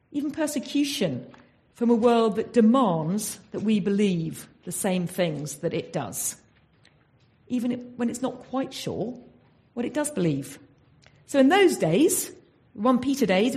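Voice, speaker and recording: A woman's voice: fairly high pitch, expressive, a little slow, darkish tone. An English woman giving a Bible talk in an earnest teaching manner, often asking her listeners rhetorical questions. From England. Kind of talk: sermon.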